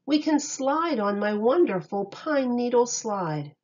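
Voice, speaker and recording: Woman reading to children, slow and very expressive. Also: a little high, dull audio. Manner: sing-song, expressive, clearly phrased for young children. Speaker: teacher reading a picture book aloud. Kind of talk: read-aloud of a rhyming children's story.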